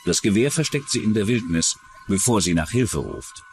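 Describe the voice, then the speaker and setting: A man narrating a scripted true-crime documentary in a measured, dramatic storytelling manner. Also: on the low side, animated, a little hiss or hum.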